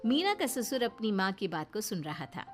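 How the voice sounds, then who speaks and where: A woman's voice: medium pitch, big pitch swings, moderate pace. A woman narrator reading a scripted moral story as a storyteller. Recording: background music. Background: soft music.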